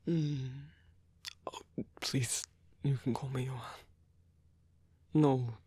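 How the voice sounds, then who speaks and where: A man playing a lonely, courteous old vampire in an intimate ASMR roleplay, speaking in a breathy, hesitant, softly formal way. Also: mid-range voice, fairly even intonation, takes it slowly, dry recording. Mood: gentle, musing. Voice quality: breathy, husky, gravelly whisper.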